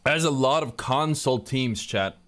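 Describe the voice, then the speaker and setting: A man's voice: mid-range voice, moderate pace, fairly bright tone. A young man from Sweden with a Swedish accent, casually casting a tournament on his stream and rambling with lots of fillers and restarts. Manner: rambling, loose, many fillers and self-corrections. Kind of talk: casual live-stream commentary.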